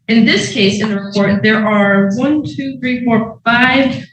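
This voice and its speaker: A woman's voice: fairly high pitch, unhurried. A woman speaking as a prosecutor, making a formal objection in court in an echoey room. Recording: echoey, distant mic, noisy room.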